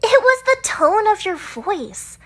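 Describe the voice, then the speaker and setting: A woman's voice: very high-pitched, very animated, slow, heavily acted. A woman voicing a touchy, bossy teenage schoolgirl manga fan in a visual novel dub, defensive and huffy.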